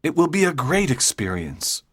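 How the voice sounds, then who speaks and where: A woman voicing a brave teenage schoolboy who speaks calmly and earnestly. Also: low-pitched, moderate pace, dry recording, studio quality. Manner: calm and earnest.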